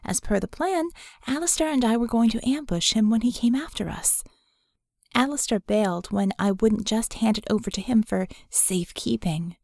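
Woman acting young female mage, audiobook dialogue, sardonic, guarded, wry confessional delivery, vocal fry. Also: on the high side, expressive, moderate pace, darkish tone, dry recording, clean studio sound. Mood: wry, bitter confession.

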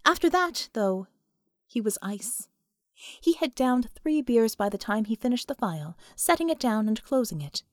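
A woman narrating an audiobook story in an expressive, scripted storytelling style. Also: on the high side, moderate pace, no echo, clean studio sound.

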